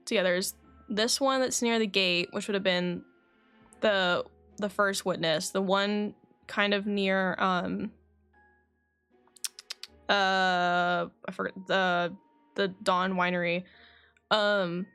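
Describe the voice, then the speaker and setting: A woman's voice: mid-range voice, fairly even intonation, takes it slowly, pauses often, a bit bright, clear, resonant tone, faint music behind. A woman streaming a game, reading its dialogue aloud and adding casual commentary, correcting herself as she goes. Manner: self-correcting as she reads. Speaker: game livestreamer.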